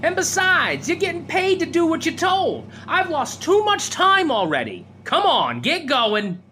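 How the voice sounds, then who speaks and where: A woman plays a hard-driving oil crew boss in an old-time radio drama. The voice is high and swings widely, with blunt, bossy slang and an impatient edge. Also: sing-song, measured pace, sharp tone, slight background noise, heavily acted.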